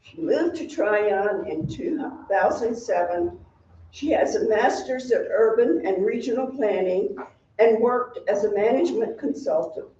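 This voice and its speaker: Lady reading award speech at town hall, slow, dull far-off sound. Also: on the high side, lively intonation, mellow, a little hiss or hum. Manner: slow, measured delivery, reading prepared remarks. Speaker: hall of fame president presenting an award. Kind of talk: formal award presentation speech. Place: town hall meeting room.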